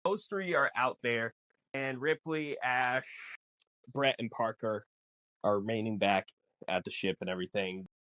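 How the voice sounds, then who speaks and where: A man co-hosting a podcast, explaining things casually in halting, piecemeal phrases. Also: mid-range voice, a bit bright, dry recording. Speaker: podcast co-host.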